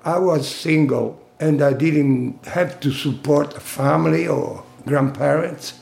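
Older man, slightly high-pitched, unhurried reminiscing interviewee, roomy echo. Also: steady tone, creaky, a little mellow. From Italy. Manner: slow, halting storytelling with fillers and laughs. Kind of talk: oral history interview.

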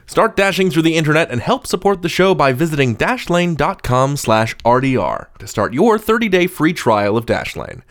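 A man's voice: fairly high pitch, big pitch swings, normal speed, few pauses. A man hosting a podcast, articulate and wordy, moving between scripted intros and conversational interviewing.

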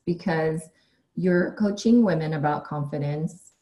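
Low-voiced woman hosting a podcast. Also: a little slow, highs cut off. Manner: encouraging, asks questions with short affirmations. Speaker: podcast host. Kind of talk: casual interview conversation.

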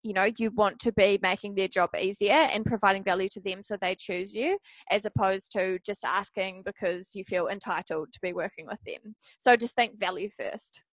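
A woman's voice: medium pitch, fairly even intonation, moderate pace, dry recording. A woman answering interview questions casually as a social media expert, in a conversational, advising way.

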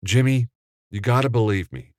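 A man voicing a bold young man who talks in a lively, teasing way. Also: mid-range voice, moderate pace, no echo, clean studio sound, pitched above the performer's own voice, close to an everyday voice. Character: young man, time traveler on set, bold.

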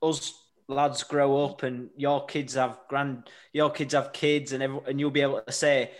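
A man's voice: medium pitch, little pitch movement, normal speed. Good-humoured young man co-hosting. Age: young adult. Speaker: podcast co-host. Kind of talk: casual podcast chat.

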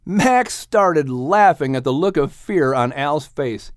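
A man narrating a children's audiobook in an expressive, scripted storytelling style. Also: fairly high pitch, takes it slowly, no echo, studio quality.

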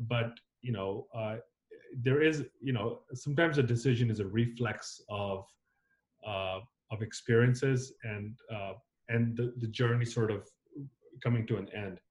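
A man in his thirties, born in Zambia and raised on the US East Coast, talking reflectively as an interview guest, with many fillers and restarts. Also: mid-range voice. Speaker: filmmaker guest. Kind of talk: casual interview conversation.

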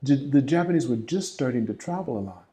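A man's voice: mid-range voice, animated, fairly quick, warm tone. A man interviewing a guest in a casual talk, prompting with questions and finishing the guest's sentences.

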